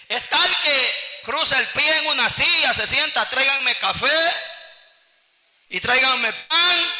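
A male evangelical preacher with a Salvadoran accent delivers an exhorting, colloquial sermon. His voice sits extremely high and is very sharp and forceful. Long reverb from a large hall trails his words. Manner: exhortative, colloquial, rhetorical questions. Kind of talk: impassioned church sermon with scripture teaching.

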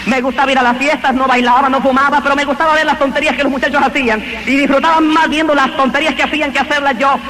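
A man's voice: very high voice, flat delivery, racing, few pauses, bright, forceful tone, slight background noise, low-quality recording. A man, giving a fervent, emphatic religious testimony that he tells as a story. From Honduras. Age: 20s. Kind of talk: evangelical testimony.

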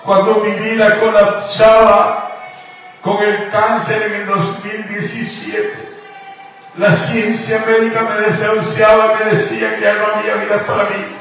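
A man's voice: high voice, completely flat delivery, unhurried, gravelly, fairly bright tone. A man from Guatemala, preaching a radio sermon in a fervent, sing-song cadence. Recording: echoey, distant radio broadcast with faint music.

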